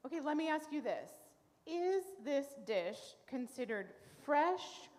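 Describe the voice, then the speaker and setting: A woman's voice: high voice, sing-song, takes it slowly, darkish tone, heavily acted. A woman playing a solemn, suspicious pastor sitting in judgment in a comedic radio play. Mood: deliberating. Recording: echoey hall.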